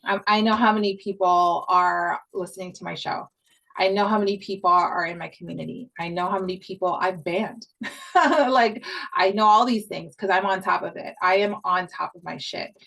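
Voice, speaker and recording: A woman's voice: fairly low pitch, fairly even intonation, normal speed, creaky, a bit bright, poor audio. An upbeat woman from Hawaii talks through a podcast sales lesson in a loose, chatty way. She repeats her key points and drops casual swears as she goes. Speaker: podcast coach. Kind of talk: casual online course talk.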